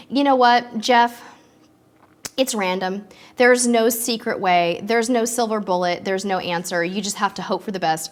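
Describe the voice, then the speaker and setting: A woman hosting a live cruise-tips stream and answering viewer questions in a chatty, upbeat way, a little stuffy from allergies. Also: on the high side. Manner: chatty and upbeat, with frequent ums.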